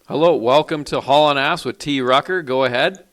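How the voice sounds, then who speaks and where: Brisk, dismissive call-in show host. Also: male voice, mid-range voice, fairly bright tone. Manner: brisk, dry, dismissive of prank callers. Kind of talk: live call-in show hosting.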